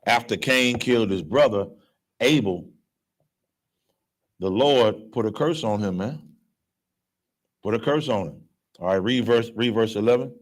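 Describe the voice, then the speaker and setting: A man's voice: fairly low pitch, a little slow, long pauses. A man, leading a scripture class in a casual, coarse way and quizzing his listeners with "right?". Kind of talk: street-style scripture lecture.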